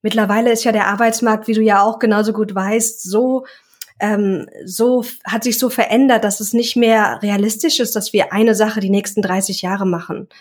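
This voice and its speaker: A woman's voice: mid-range voice, steady tone, normal speed. Reflective young woman in an interview. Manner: reflective, searching for words. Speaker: guest, self-employed coach. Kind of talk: casual interview talk.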